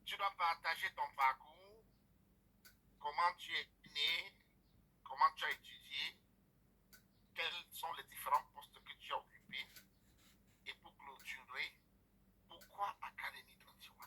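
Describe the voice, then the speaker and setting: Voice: medium pitch, measured pace, long pauses, pushed and bright, slightly rough. An event host giving a ceremonial introduction of a speaker, emphatic and rallying the audience. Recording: tinny phone-like recording.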